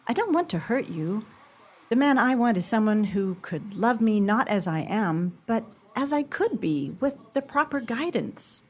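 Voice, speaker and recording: A woman's voice: on the high side, very animated, measured pace, darkish tone, husky, exaggerated voice. A woman playing a firm, dismissive love interest in an amateur radio play, speaking in a theatrical, emphatic way. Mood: earnest, apologetic. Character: firm, dismissive woman rejecting her suitor.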